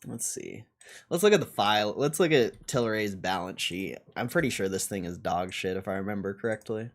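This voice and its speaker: A man's voice: on the low side, animated, normal speed. A man livestreaming casual stock commentary, thinking aloud in a blunt, slangy, offhand way with plenty of swearing. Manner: foul-mouthed, blunt.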